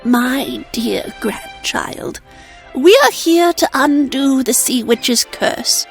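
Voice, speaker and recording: An elderly mermaid grandmother in an animated fairy tale, acted by a woman. She speaks slowly and solemnly, scolding gently and lovingly over quiet music. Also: high-pitched. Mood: solemn, reproving. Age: older.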